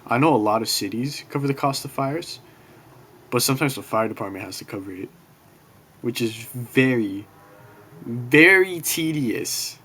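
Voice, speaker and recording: A young man giving a casual class presentation from his bedroom, talking quietly and apologizing as he rambles a bit. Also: medium pitch, expressive, normal speed. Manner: quiet, self-conscious, apologetic, loose and rambling. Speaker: student presenting a class project. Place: bedroom in an apartment next to a street. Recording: faint street noise.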